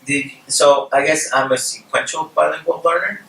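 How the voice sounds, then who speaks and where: A man's voice: fairly high pitch, fairly even intonation, normal speed, creaky, bright, forceful tone. A man whose first language is Spanish, hosting a workshop and asking brief prompting questions with some ums. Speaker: workshop host. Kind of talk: moderating a live workshop. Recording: echoey room, mic at a distance.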